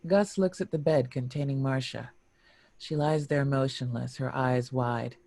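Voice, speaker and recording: A man narrates a screenplay in a mid-range voice. The sound is dry, with little room around it. The reading is measured and descriptive. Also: mid-range voice, fairly even intonation, creaky, darkish tone, dry recording. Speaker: stage-direction narrator.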